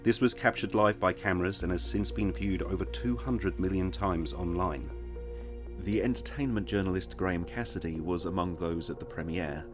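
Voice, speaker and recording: An actor playing a sober male television reporter, narrating a scripted crime report in a serious, measured way. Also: low-pitched, natural voice. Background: music bed.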